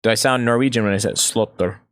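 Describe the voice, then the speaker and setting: A man hosting a stream, bantering with quick, teasing jokes. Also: lowish voice, steady tone, clear, resonant tone. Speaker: host. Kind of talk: casual chat on a stream.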